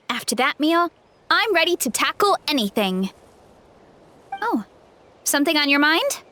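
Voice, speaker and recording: An actress playing a sweet, easygoing young orchard grower in a video game, speaking earnestly. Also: female voice, high voice, sing-song, slow pace, pauses often, bright, forceful tone, heavily acted. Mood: reassuring. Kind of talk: English video game voice acting.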